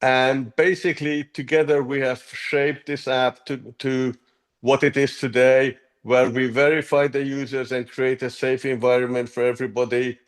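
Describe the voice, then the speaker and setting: A man's voice: medium pitch, flat delivery, moderate pace. A man from Hungary, an app co-founder, giving earnest interview answers with frequent ums and restarts.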